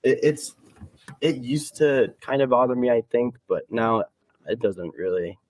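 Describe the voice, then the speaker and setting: A man's voice: mid-range voice, lively intonation, measured pace, a little mellow, no echo. A man chatting casually as a guest, easygoing and slangy, rambling as he goes. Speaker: interview guest, competitive cornhole player. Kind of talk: casual chat.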